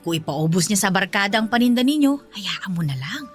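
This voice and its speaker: A woman's voice: medium pitch, animated, soft background music, natural voice. A woman playing a middle-aged, indulgent but scolding Filipina mother-in-law in a radio drama, nagging and dismissive, with a Filipino accent. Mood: dismissive, placating. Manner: nagging, dismissive, drawn-out phrases.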